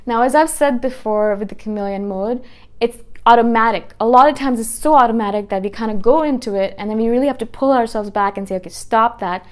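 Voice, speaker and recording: A woman's voice: fairly high pitch, a little fast, a little mellow. A woman in her thirties, a native Hindi speaker whose accent blends British, Canadian and Indian sounds, chatting casually to camera in a rambling way, often restarting and correcting herself. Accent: mixed British, Canadian and Indian. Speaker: YouTuber. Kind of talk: casual talking-to-camera vlog.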